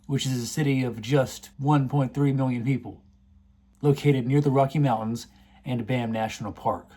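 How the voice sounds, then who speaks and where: A man's voice: mid-range voice, a little mellow. A man delivering a scripted, earnest commentary, indignant about the issue he is laying out. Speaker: video essay host.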